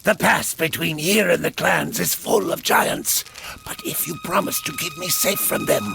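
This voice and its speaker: An actor playing a crafty old Norse wanderer in a game cutscene, theatrical and wheedling. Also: male voice, high-pitched, huge pitch swings, moderate pace, creaky, full character voice. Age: older. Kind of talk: video game cutscene acting.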